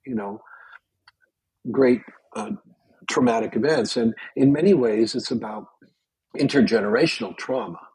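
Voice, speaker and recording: Older canadian guy, really husky breathy voice, dry close sound, chatty storyteller. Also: medium pitch, moderate pace, long pauses, no room sound, poor audio. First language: Yiddish. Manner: animated storyteller with frequent restarts. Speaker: guest. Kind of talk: casual chat.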